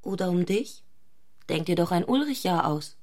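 A woman's voice: fairly low pitch, animated, moderate pace, darkish tone, lightly acted. A woman playing an intense, fanatical, provocative married woman in a literary radio drama. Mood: insistent.

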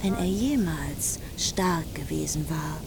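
A woman's voice: deep voice, takes it slowly, vocal fry, a crowd in the background, full character voice. A woman narrating a heroic epic as a composed storyteller in a literary radio drama. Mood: composed, storytelling. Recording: loud music behind the voice.

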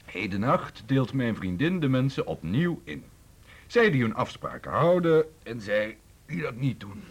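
A woman's voice: a bass voice, very animated, a little slow, exaggerated voice. An actress in an intimate radio play, playing a sleepy, wry woman who murmurs dry, teasing irony to her partner in bed. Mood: drowsy, teasing. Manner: drowsy, murmuring, dryly ironic. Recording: faint clicks and background noise.

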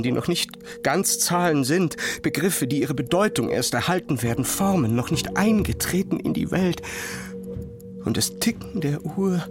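An anxious, entranced dreamer speaks from a little distance over clear music. His delivery is intense and halting, in short breathy phrases, like an inner voice in a literary audio drama. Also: fairly high pitch. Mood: rapt, intense. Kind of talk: literary audio drama, present-tense stream of consciousness.

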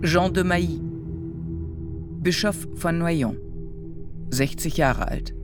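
A clerk announcing witnesses in a historical radio play. His fairly high voice is formal and very slow, set over clearly audible music. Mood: formal, solemn. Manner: formal, deliberate. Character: male court clerk reading out witness records. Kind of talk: scripted announcement in radio drama.

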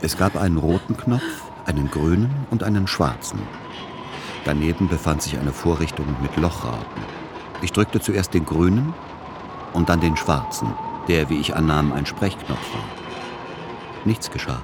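Weary middle-aged son, deep voice, dry, stubborn, literary radio drama, noisy rumbling background. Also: male voice, lively intonation, normal speed. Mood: measured, dry. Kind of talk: literary first-person narration and radio play dialogue.

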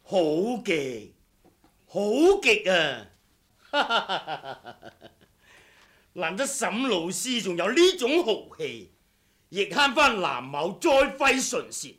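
A man's voice: high-pitched, sing-song, extremely slow, bright, forceful tone, exaggerated voice. A man acting a vengeful, sardonic swordsman in a Cantonese radio drama, with a mock-courteous, taunting, theatrical manner. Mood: exultant, challenging. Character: vengeful, sardonic swordsman come to settle a blood debt.

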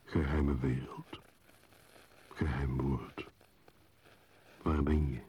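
An actor playing a solitary, brooding male wanderer who narrates in a solemn, measured, poetic radio play style. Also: rumbling low, level tone, warm tone, heavily acted.

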